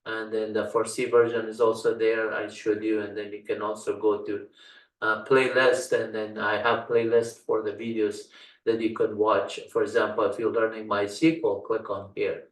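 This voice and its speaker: An older Dari-speaking man walks through a software tutorial in a halting way, stopping often on "uh". His voice sits a little low, and it sounds roomy and set back from the microphone. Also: flat delivery. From Afghanistan. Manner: halting, frequent "uh" fillers, folksy. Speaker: computer science instructor. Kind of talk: screen-share software tutorial walkthrough. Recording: roomy sound, distant from mic.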